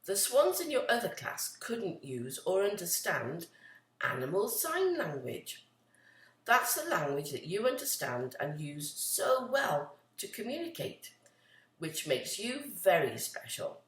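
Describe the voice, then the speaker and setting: A kind female cat teacher from a children's storybook, acted out by a woman reader. She explains things slowly and reassuringly, with expressive pitch, in an echoey room. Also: heavily acted. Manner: explanatory, encouraging. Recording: roomy, echoey, distant from the mic.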